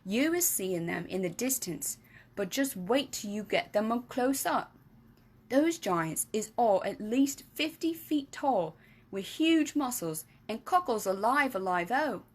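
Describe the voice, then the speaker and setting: A woman's voice: expressive, measured pace, breathy edge. A woman reading aloud and voicing a big, kindly giant who mangles his grammar and invents words. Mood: boastful. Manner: jumbled grammar, made-up words, booming. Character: big friendly giant, kindly, muddled speech.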